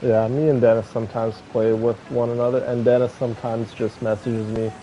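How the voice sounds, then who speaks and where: A man chatting casually and haltingly with viewers as he streams a game, on slightly muffled audio. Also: medium pitch, flat delivery, dark tone. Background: faint game music.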